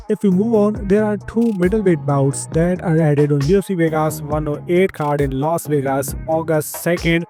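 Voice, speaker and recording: A man with an Indian accent hosting a scripted combat-sports news roundup, upbeat and quick. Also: fairly high pitch, darkish tone. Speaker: host of an MMA news channel.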